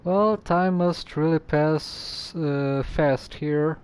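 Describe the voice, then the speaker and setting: A man's voice: on the high side, drawn-out words, darkish tone, smooth. A man who streams games, giving casual live reactions as he plays. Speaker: game streamer.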